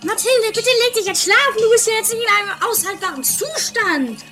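Shrill, bossy, greedy sister. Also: brisk, echoey room, recorded from a distance, over music. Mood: scolding. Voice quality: very high-pitched put-on falsetto. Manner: exaggerated, scolding, scheming. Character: Doris, bossy, greedy, gloating aristocratic sister. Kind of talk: improvised trashy comedy horror radio play.

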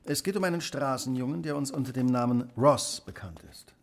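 An actor playing a brisk, courteous, intense gentleman detective in a German radio play. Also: medium pitch, natural voice. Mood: courteous, probing. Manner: courteous, urgent, incisive.

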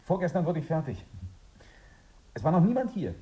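An eager, self-satisfied husband receiving a guest in a radio drama. His delivery is highly animated and jovial, with a boastful streak. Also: a little fast. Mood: pleased, boastful. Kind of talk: radio play acting.

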